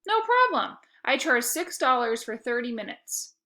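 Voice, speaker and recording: A woman's voice: sits high, sing-song, a little slow, pushed and bright, voice pushed up, exaggerated voice. A woman voicing an eager, cheeky boy in a children's storybook. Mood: eager, casual. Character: eager, cheeky boy narrator chatting and bargaining.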